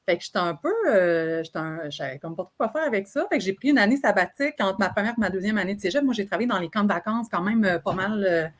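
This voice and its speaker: A woman from Beauce with a Quebec French accent, a sexologist guest telling her story in a lively, animated way with easy laughter. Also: mid-range voice, fast talker, few pauses. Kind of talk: casual interview storytelling.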